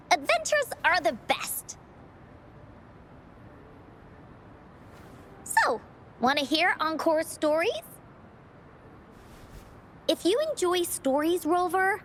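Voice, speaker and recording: A woman's voice: extremely high pitch, a bit bright, a little hiss or hum, exaggerated voice. A woman playing a cheerful little girl who tells adventure stories theatrically in a video game. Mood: excited. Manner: theatrical, cheerful, childlike storytelling. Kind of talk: video game storybook narration.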